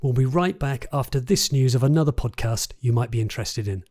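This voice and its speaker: A man's voice: mid-range voice, fairly quick, darkish tone. A man presenting a podcast, reading scripted narration as the host.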